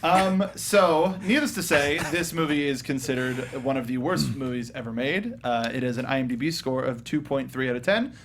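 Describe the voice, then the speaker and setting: A man co-hosting a casual film review panel, talking in a halting, stop-start way. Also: medium pitch, background noise. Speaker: co-host of a film review show.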